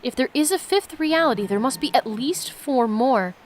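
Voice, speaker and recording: A woman reading aloud as a solemn diary writer recounting mystical visions, in a measured storytelling manner. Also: high voice, lively intonation, darkish tone, slight background noise. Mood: pondering.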